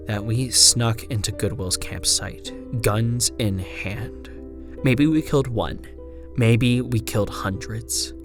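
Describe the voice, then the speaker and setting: A man performing a frontier gunslinger's first-person horror narration, in a hushed, deliberate delivery with drawn-out phrases and swelling emphasis. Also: lowish voice. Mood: grim, regretful. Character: woman frontier gunslinger recounting her haunted, lost memories. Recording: music bed under the narration. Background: music.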